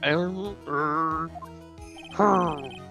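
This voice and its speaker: A man voicing a ditzy, evasive young woman on the witness stand in an amateur game fan dub, halting like a valley girl. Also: a little high, vocal fry, bright, forceful tone, faint music behind, heavily acted.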